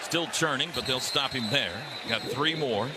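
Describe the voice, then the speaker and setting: A man's voice: medium pitch, normal speed. A man calling live football play-by-play on the radio in short, clipped phrases. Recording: very noisy stadium broadcast. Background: loud stadium ambience.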